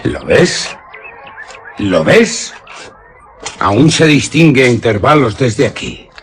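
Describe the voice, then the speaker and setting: A man's voice: mid-range voice, sing-song, takes it slowly, slight background noise. An actor playing a proud, reckless young nobleman hunter in a suspense radio drama, declaiming with passion in Castilian Spanish. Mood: defiant, impassioned. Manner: imperious, impassioned, declamatory.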